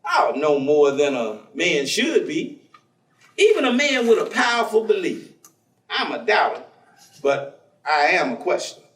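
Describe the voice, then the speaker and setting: An actor playing a warm, devout, folksy man, teasing and preaching in a conversational staged reading. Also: on the high side, huge pitch swings, unhurried, long pauses, full character voice. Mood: earnest, reflective. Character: warm, devout, folksy man, witty self-styled outlaw believer. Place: theatre stage. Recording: echoey stage recording from far off.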